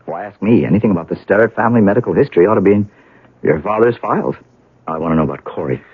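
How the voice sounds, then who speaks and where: An actor playing a grieving, wry and bitter heir to a wealthy house in a 1970s radio drama, speaking haltingly. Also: male voice, low-pitched, lively intonation, breathy edge, no room sound. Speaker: actor in a radio mystery play. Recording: narrowband old radio broadcast, dry.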